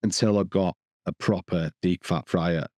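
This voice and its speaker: A man's voice: deep voice, fairly even intonation, unhurried, a little mellow, no echo, clean studio sound. A man co-hosting a podcast, rambling and restarting sentences as he lists the dishes he cooked. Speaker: podcast co-host. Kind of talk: casual chat.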